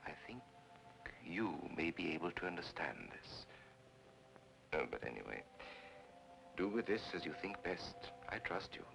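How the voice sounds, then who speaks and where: A suave con man from a 1940s film noir. His voice is very low and highly expressive in pitch. He speaks with courtly, deliberate flattery, as if taking the listener into his confidence. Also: gravelly, music in the background, heavily acted, poor audio. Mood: solemn, confiding.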